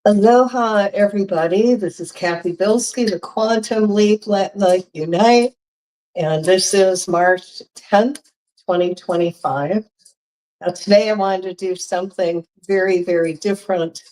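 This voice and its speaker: A woman's voice: mid-range voice, unhurried, rough recording. A woman recovering from a cold, her voice a little congested, chatting casually as she tells a travel story. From Hawaii. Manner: chatty, animated storytelling with frequent laughs. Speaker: vlogger.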